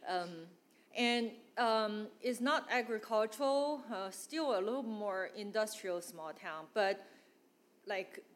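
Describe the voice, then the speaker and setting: A woman from northeast China with a Chinese accent, giving a personal church testimony haltingly, with many fillers and a runny nose from allergies. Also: mid-range voice. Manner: halting, frequent fillers, laughs between phrases.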